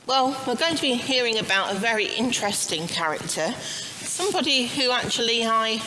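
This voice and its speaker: A middle-aged woman leading an informal church service in a chatty, halting, self-deprecating way. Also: on the high side, lively intonation. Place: church, standing before the altar. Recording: noticeable background noise, church ambience.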